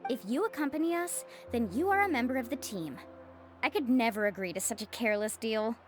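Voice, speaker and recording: An actress playing an upbeat, headstrong young tour guide in a video game, breezy and assured. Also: female voice, a little high, lively intonation, brisk, faint music behind, lightly acted. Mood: firm.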